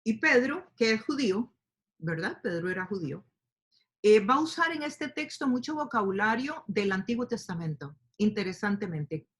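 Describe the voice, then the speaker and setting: A woman teaching a Bible study to a group, speaking warmly and explaining with fillers and questions. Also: mid-range voice, very animated, normal speed, slightly rough. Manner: speaks to a group, asks them to open their Bibles, many fillers like "eh" and "este". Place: lives in the United States.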